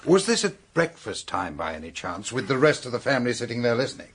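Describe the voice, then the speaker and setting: An actor playing a brisk, sceptical British gentleman investigator in a radio drama, clipped and questioning. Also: medium pitch, very animated, slightly rough, exaggerated voice.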